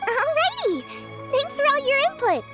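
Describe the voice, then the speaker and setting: A woman voicing a small, childlike fairy companion in a video game, with a squeaky and perky delivery. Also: very animated, normal speed, music in the background. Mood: cheerful. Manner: perky, refers to herself in third person. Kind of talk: video game character acting.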